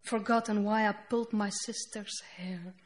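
A woman's voice: medium pitch, steady tone. Dutch-accented woman reading poetry. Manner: slow, deliberate reading with halting English asides. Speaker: poet. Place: festival stage before an audience.

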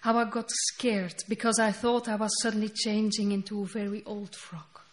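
A woman whose first language is Dutch, speaking English with a Dutch accent as she reads her poetry slowly and deliberately on a festival stage. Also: mid-range voice, level tone. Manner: slow, deliberate reading with halting English asides. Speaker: poet. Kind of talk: poetry reading. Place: festival stage before an audience.

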